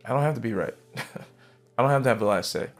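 A man in his thirties, a pastor preaching a sermon from a church stage, fervent and emphatic, jumping from loud bursts to quiet asides. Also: medium pitch, expressive, brisk, soft background music. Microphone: headset mic.

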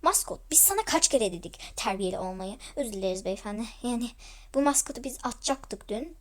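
A woman's voice: fairly high pitch, pitched below the performer's own voice, lightly acted. A woman voicing a stern male manager who reprimands staff and apologizes to customers in a roleplay story.

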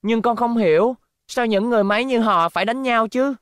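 A woman's voice: on the high side, brisk, no room sound, clean studio sound, natural voice. A woman dubbing an innocent, earnest young boy robot in a cartoon, asking questions. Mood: curious, puzzled.

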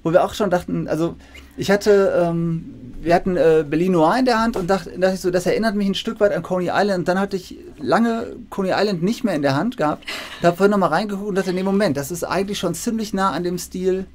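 A man's voice: high-pitched, fast, a little mellow, a little hiss or hum. A man in his forties in casual interview chat over a video call from an indoor room. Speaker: interviewer, academic host. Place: book-lined room, indoors.

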